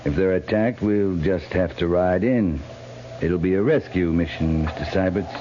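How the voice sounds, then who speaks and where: An actor playing a stern, commanding US cavalry captain in a 1950s radio drama, with a clipped, authoritative manner. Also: male voice, low-pitched, normal speed, dark tone, muffled recording, natural voice. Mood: firm, commanding. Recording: noisy, band-limited 1950s radio recording.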